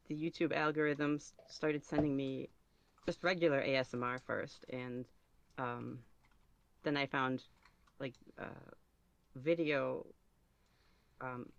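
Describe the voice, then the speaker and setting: A woman chatting casually as an interview guest, hesitant, rambling and self-deprecating, on a dull, muffled recording. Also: rumbling low. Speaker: podcast guest, ASMR roleplay creator.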